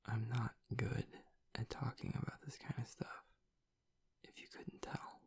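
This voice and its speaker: A man playing a guarded, vulnerable, grieving werewolf boyfriend in an intimate audio roleplay, speaking in a halting, breathy, gravelly whisper. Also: mid-range voice, a little mellow, exaggerated voice. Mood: hurting, reluctant. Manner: halting, reluctant, haltingly confessional.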